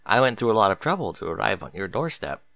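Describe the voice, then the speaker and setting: A man voicing a nervous, earnest male unicorn pony in a story reading, with a halting way of talking. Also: lowish voice, sing-song, gravelly, a bit bright, dry recording, voice pushed down, full character voice.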